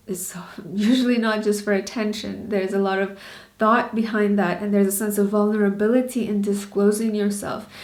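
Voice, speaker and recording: A woman's voice: medium pitch, darkish tone. Earnest woman in her 30s vlogging, asks lots of questions, medium voice, bit of room echo. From Bangladesh and Canada. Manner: earnest, questioning, frequent small laughs. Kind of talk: candid personal vlog.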